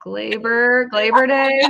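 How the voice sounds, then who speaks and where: A woman's voice: on the high side, gravelly, pushed and bright. A woman co-hosting a sports podcast in casual, unscripted chat, heard on slightly dull, lo-fi audio.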